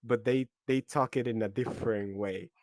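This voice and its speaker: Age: adult. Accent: Venezuelan. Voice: man, clear mid-range, dry close sound. Role: easygoing podcast host. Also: medium pitch, fairly even intonation, normal speed, a little mellow, dry recording. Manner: easygoing, with frequent laughs and fillers. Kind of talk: casual chat.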